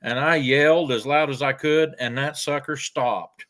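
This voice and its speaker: An older man, telling farm stories in a folksy, unhurried way with frequent pauses. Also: mid-range voice, fairly even intonation, fairly bright tone. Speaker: storyteller guest. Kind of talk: casual storytelling.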